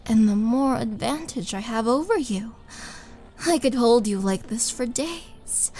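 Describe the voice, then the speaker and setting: A woman's voice: high voice, warm tone, a little hiss or hum. A woman voicing a towering, bloodthirsty half-orc murderess in an intimate audio roleplay, possessive, teasing and dramatic, sometimes dropping toward a whisper. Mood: dominant, teasing. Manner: slow, dramatic, menacing and taunting, breathy dips into whisper. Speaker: roleplay voice performer. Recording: background music under voice.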